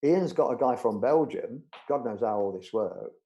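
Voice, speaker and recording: Guest role: middle-aged man, mellow tone, rambling anecdotal delivery. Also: mid-range voice, lively intonation, normal speed, lo-fi sound. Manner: chatty, anecdotal, frequent restarts and fillers. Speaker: budgerigar show judge, interview guest. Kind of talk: casual storytelling interview.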